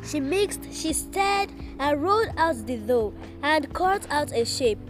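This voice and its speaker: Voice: high-pitched, lively intonation, slow. A school pupil, a child, reading a children's story aloud. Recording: loud music behind the voice.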